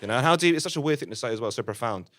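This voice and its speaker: A man's voice: medium pitch, animated, rapid-fire, a bit bright, lightly acted. A man playing an awkward stand-up comedian, rambling through a routine in a hesitant, self-deprecating way. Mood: bemused.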